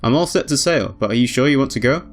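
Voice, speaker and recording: A man's voice: medium pitch, lively intonation, fast talker, close to an everyday voice. A man voicing a jovial, world-weary boatman, a devout drinker, in a visual novel. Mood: jovial, kindly.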